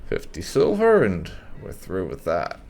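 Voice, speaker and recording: A man hosting a casual video game playthrough, haltingly thinking aloud as he comments. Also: sits low, huge pitch swings, creaky, darkish tone, a little hiss or hum. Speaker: gaming channel host. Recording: game ambience faintly behind commentary.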